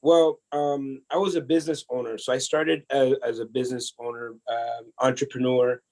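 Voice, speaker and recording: A man from El Salvador, a podcast guest telling his life story in a casual, energetic way, often restarting his sentences. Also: mid-range voice, normal speed. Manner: energetic, chatty, many restarts.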